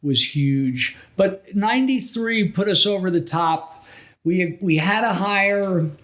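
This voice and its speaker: High-pitched male voice, American accent, middle-aged, casual podcast storytelling, halting delivery. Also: lively intonation, a bit bright. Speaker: podcast guest. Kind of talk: casual reminiscing interview talk.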